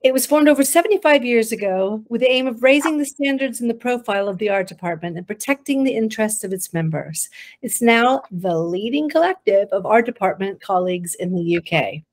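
A woman's voice: on the high side, animated, fairly quick. A woman in her fifties hosting an interview over a video call from an indoor room. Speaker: host, guild chairperson. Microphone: computer mic on a video call.

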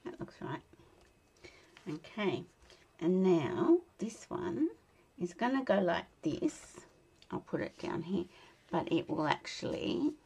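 An Australian woman with a tired, croaky voice chatting casually and laughing as she demonstrates card making at her craft desk. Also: on the low side, darkish tone. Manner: chatty, laughs often, mutters to herself while working. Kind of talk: casual crafting tutorial chat. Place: indoor craft desk, overhead camera.

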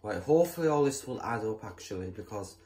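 A male host chatting casually while building in a game, rambling with lots of fillers and restarts, sometimes bursting into excited exclamations. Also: lowish voice, quick pace. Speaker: gameplay channel host.

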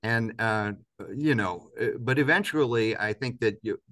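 A man's voice: medium pitch, measured pace, sharp tone. A man in his fifties being interviewed on a video call, talking reflectively and emphatically with rhetorical questions. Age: 50s. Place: indoors, large office-like room, on a video call.

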